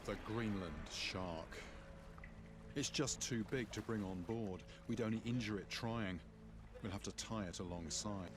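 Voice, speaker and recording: A man's voice: lowish voice, measured pace. A man talking about the task at hand, recorded outdoors on a boat. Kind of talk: describing work on a boat in a played clip. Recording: noisy outdoor recording on water.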